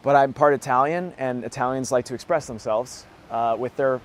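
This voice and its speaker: A man in his thirties with a hoarse voice, answering interview questions in a relaxed, casual chat and laughing often. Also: medium pitch, moderate pace, slight background noise. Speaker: actor being interviewed.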